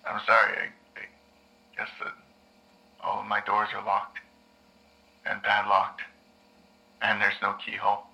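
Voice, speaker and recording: A man acting as a troubled male therapy patient in an audio drama. His voice is gravelly and very sharp, a little low, and he speaks in a halting, unsure way. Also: completely flat delivery, exaggerated voice, rough recording. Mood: hesitant, doubtful. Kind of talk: scripted audio drama dialogue.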